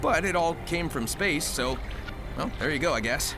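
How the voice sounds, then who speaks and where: An upbeat game hedgehog: high, lively, breezy, over a music bed. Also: male voice, normal speed, rough recording. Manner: casual, breezy slang. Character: cocky fast blue hedgehog hero, upbeat. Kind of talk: video game cutscene dialogue. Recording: game audio with music underneath.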